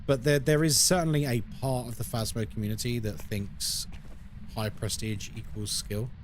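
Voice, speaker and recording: A man's voice: medium pitch, fairly even intonation, normal speed, background noise. A man from London with a London accent, casually chatting with viewers and explaining the game as he plays on a live stream. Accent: London English. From London, United Kingdom. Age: adult, over 20. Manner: chatty, explains the game while answering chat.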